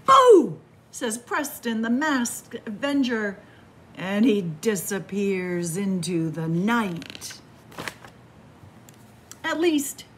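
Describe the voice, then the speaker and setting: A middle-aged woman reading a picture book aloud for children, dramatic, with hushed whispers and drawn-out words. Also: medium pitch, slight background noise. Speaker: library story time host. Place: small library room with bookshelves, indoors.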